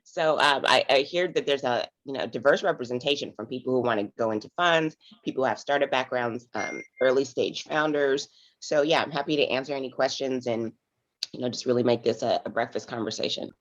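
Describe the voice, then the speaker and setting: Hoarse woman rambling over lo-fi call audio. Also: extremely low pitch, a little fast. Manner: rambling, many "you know" fillers. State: hoarse, raspy morning voice. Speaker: investor guest. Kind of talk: casual conversational storytelling.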